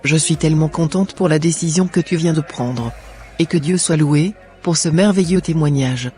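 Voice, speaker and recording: A guy playing a devout praying wife, fervent, slightly high voice, music behind. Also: moderate pace, a little mellow, lightly acted. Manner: fervent, prayerful.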